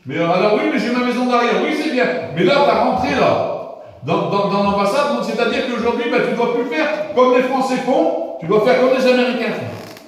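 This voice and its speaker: A man's voice: very high voice, lively intonation, brisk. A man from France preaching an informal sermon to his congregation, colloquial and repetitive, often checking that the room understands. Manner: colloquial, repetitive, asks the room "vous comprenez". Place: church hall. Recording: echoey church hall from far off.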